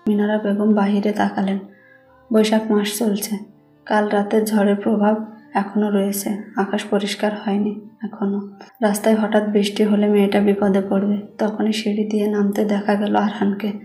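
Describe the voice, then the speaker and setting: A woman's voice: medium pitch, completely flat delivery, moderate pace, dark tone, slightly rough. A woman with a Bangladeshi accent reading a scripted romantic story as its narrator. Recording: music bed behind the voice.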